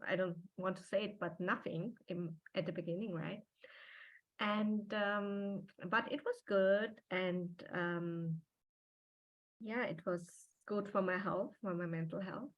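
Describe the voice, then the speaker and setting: A woman in her forties whose first language is German speaks English in a thoughtful, halting way, pausing often to find her words. Her voice sits at an ordinary pitch for a woman. The recording sounds a bit dull and muffled. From Austria and Taiwan. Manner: reflective and halting, with many pauses and fillers. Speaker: podcast guest. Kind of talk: personal interview conversation.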